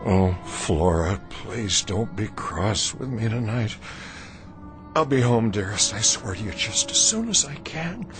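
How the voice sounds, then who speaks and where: An actor playing an anxious, impatient, lovestruck older husband, wealthy and fretful, in a radio drama. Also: male voice, lowish voice, animated, unhurried, music in the background. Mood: wistful, doting. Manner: fretful, pleading.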